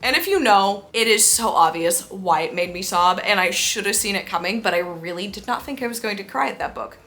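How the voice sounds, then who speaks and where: Young female book vlogger, bright tone, mid pitch, casual gushing delivery with emphatic repeats. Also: animated, a little fast. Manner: gushing, effusive, emphatic repetition. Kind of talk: casual enthusiastic chat to camera.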